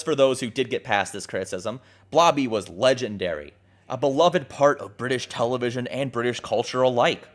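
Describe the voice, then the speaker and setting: A man hosting a video essay, narrating from a script with casual, profane asides in an irreverent, jokey, emphatic way. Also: medium pitch. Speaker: host of a video essay show. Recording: music playing behind the voice. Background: background music.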